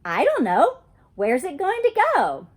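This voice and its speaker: A woman voicing an outspoken, stubborn five-year-old girl in a children's storybook, with a blunt, childish, expressive delivery. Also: high voice, unhurried, pitched above the performer's own voice, exaggerated voice. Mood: insistent, annoyed. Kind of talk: children's storybook read aloud.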